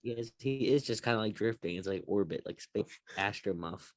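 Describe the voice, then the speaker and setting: Deep-voiced man chatting about sports. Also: moderate pace, dry recording. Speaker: sports show co-host. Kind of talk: casual opinionated sports chat.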